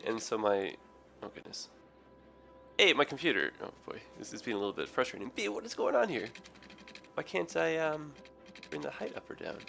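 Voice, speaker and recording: Hoarse, tired man with a gravelly voice. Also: mid-range voice, a bit bright. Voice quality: raspy. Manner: rambling, many ums and self-corrections. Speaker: gaming video host. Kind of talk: casual let's play commentary.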